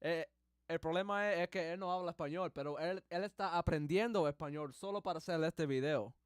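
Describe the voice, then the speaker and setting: Hyped-up man streaming, english native speaking spanish, smooth clear voice, dry close sound. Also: fairly high pitch, fairly quick, no room sound. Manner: excitable, mixes English and Spanish slang. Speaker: livestream host. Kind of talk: casual reaction commentary.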